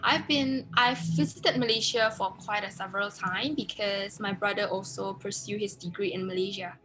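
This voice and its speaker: A woman's voice: mid-range voice, steady tone, moderate pace, fairly bright tone, soft background music, poor audio. Earnest young woman, mid voice. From Indonesia. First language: Hokkien. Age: young adult. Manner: earnest, hesitant with frequent uhs. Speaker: podcast guest. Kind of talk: casual chat.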